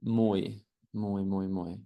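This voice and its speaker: A man with a Mexican accent hosting a podcast interview, speaking in a soft, reflective way. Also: low voice, level tone, drawn-out words, dull audio. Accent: Mexican Spanish. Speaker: podcast host.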